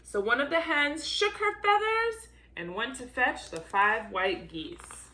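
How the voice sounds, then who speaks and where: A woman with a very high, bright voice reads a children's story aloud. She is highly animated and theatrical, swinging widely in pitch. Her delivery is unhurried, with key words drawn out for emphasis. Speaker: volunteer storybook reader.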